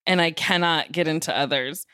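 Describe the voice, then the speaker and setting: A woman's voice: sits low, normal speed, bright, forceful tone, clear, resonant tone, no room sound. Blunt, casual woman, dry close sound. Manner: blunt, candid. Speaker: podcast co-host. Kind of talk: casual chat.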